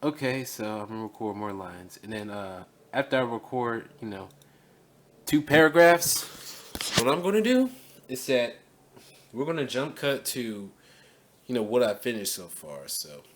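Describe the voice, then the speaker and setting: A man reads voice-over narration for a video essay in a casual, self-correcting way, stopping to redo lines and talking loosely between takes. His pitch moves a lot as he talks. He sits away from the mic in an echoey room, with a faint hum of ambience behind him. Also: expressive, unhurried. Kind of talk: recording voice-over takes with off-script chat. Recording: roomy echo, distant mic, faint ambience.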